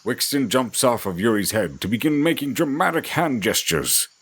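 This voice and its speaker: A man's voice: mid-range voice, lively intonation, normal speed, fairly bright tone, husky. A man narrating a scripted fantasy audio drama in a theatrical, descriptive storytelling manner.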